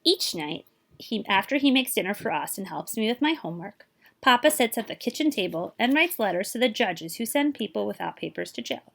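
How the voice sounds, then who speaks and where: A woman reading a children's picture book aloud in an animated way, speaking directly to young listeners. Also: medium pitch, vocal fry. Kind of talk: expressive children's read-aloud with asides to listeners.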